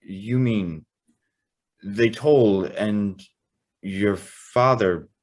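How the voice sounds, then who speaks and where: A man playing an earnest, slow-spoken young suitor. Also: on the low side. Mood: uneasy. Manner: hesitant, earnest. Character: earnest, smitten young man, increasingly horrified guest. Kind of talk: old-time radio drama acting.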